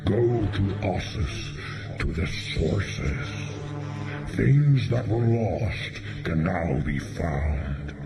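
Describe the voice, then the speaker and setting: An actor playing an ancient, solemn spirit who guards a Jedi archive, speaking slowly and cryptically in a sci-fi audio drama. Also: male voice, low voice, animated, vocal fry, heavily acted. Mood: wise, grave. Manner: slow, cryptic, oracular. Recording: loud music behind the voice.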